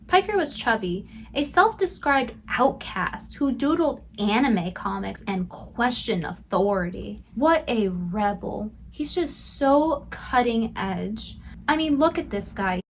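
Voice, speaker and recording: High-voiced sarcastic woman commentator, background noise. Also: big pitch swings, unhurried. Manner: sarcastic, mocking. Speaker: video commentator. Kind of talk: scripted commentary with sarcastic asides.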